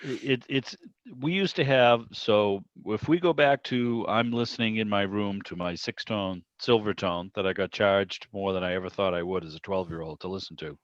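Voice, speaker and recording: A man's voice: lowish voice, moderate pace. A man chatting casually as an interviewer, telling anecdotes in a rambling way over a video call. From Boston area, United States. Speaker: interviewer and club host. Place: indoor room on a video call. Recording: roomy, dull lo-fi call audio.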